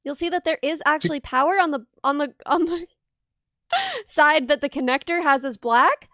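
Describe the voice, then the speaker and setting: A woman's voice: high-pitched, sing-song, moderate pace, a bit bright, no echo, clean studio sound. A young woman hosting a live soldering stream, bubbly and laughing often as she explains her work step by step.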